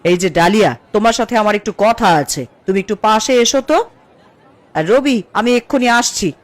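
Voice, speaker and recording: A woman's voice: mid-range voice, normal speed, no echo, a faint crowd, lightly acted. A woman playing an assertive, sensible housewife in a Bengali cartoon, speaking firmly. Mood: firm, reasoning.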